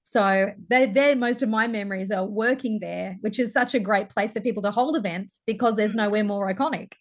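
A woman's voice: medium pitch, fairly quick. Woman singer, easygoing. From born in England, raised in Queensland. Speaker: singer, guest. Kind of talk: casual interview chat.

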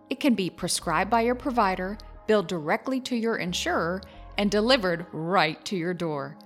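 A woman wound care nurse hosting a podcast, chatting in a friendly, easygoing way and laughing easily. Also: mid-range voice. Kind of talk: casual podcast chat and read sponsor spot.

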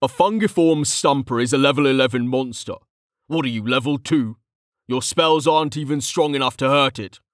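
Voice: a little slow, no room sound, clean studio sound, natural voice. A narrator voicing a stern male officer and fire mage with cold authority and clipped contempt, in a fantasy audiobook. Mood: scolding. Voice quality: deep. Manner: calm authority turning to snapping contempt.

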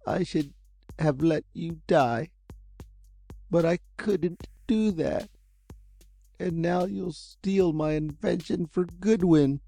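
An eccentric old inventor, lanky and earnest, talks in a raised, strained voice, as a male storyteller puts him on. The tone stays soft and mellow under its urgency. Faint music plays behind the storybook reading. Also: medium pitch, expressive, moderate pace, voice pushed up, natural voice. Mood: hopeless.

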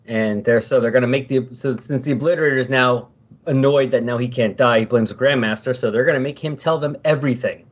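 A man with a mid-range, somewhat husky voice hosts a casual comic book podcast. He retells stories conversationally and animatedly, slipping into quoted lines as he goes. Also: mid-range voice, quick pace, lo-fi sound. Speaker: podcast host.

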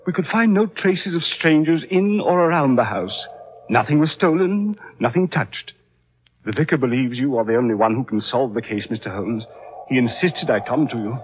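Casting: male actor for shaken gentleman, melodramatic old-time radio delivery, faint noise on a dull vintage recording. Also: mid-range voice, animated, moderate pace, lightly acted. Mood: shaken, earnest. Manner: agitated, faltering, melodramatic. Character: distraught, horror-struck English gentleman reporting a family tragedy.

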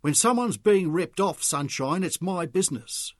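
A man voicing a big, fearless man who speaks loudly and firmly, in a storybook reading. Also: high voice, animated, normal speed, no room sound, clean studio sound, voice pushed up. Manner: loud, firm.

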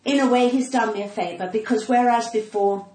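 A woman's voice: fairly high pitch, steady tone, a little fast, dark tone. A British woman in her sixties with a cut-glass, well-spoken voice, talking frankly and earnestly as an interview guest. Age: 60s. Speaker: podcast guest, stand-up comedian. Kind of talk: candid conversational interview. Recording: roomy echo, clear background noise.

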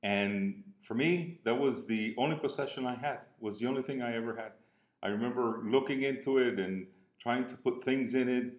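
A man in his sixties from Cuba with a Cuban Spanish accent, a business coach telling a personal story in an earnest way, slowing and softening at emotional moments. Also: medium pitch. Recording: roomy echo.

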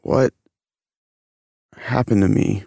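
A man acting a haunted, wistful murdered movie heartthrob in an audio drama, with a gravelly voice and brooding, poetic delivery. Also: fairly low pitch, steady tone, slow pace, mellow, dry recording, studio quality, faint music behind, exaggerated voice. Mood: confused, searching. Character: murdered 1950s Hollywood heartthrob, haunted, bitter, wistful ghost. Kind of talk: audio drama monologue and dialogue.

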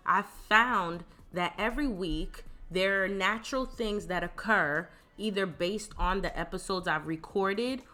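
A woman's voice: medium pitch, a little slow, hardly any pauses, sharp tone. A woman in her thirties hosting a podcast, sounding congested and talking in a chatty, laid-back way. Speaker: podcast host. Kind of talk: casual podcast chat.

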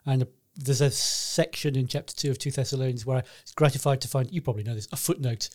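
A man co-hosting a podcast interview, asking questions in a quick, joking, teasing way. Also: mid-range voice, a little mellow. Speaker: co-host.

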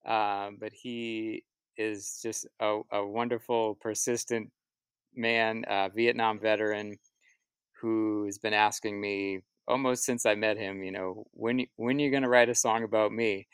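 A man talking casually as an interview guest, a musician telling stories in a halting, reflective way and often repeating his words as he goes. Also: on the low side, flat delivery, clear, resonant tone, no room sound. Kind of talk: casual interview answers, storytelling.

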